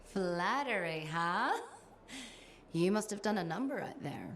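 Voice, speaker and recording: A woman's voice: medium pitch, expressive, unhurried, fairly bright tone, a little hiss or hum. A woman voicing a confident, teasing mechanic in a video game cutscene. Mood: wry teasing. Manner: teasing, sardonic. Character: wry, confident female mechanic, teasing and fond.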